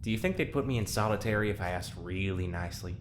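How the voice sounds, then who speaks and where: A man's voice: lowish voice, expressive, fast, background noise, natural voice. A man playing a weary, dryly sardonic test subject in an audio drama. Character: weary, sardonic male subject of an experimental therapy.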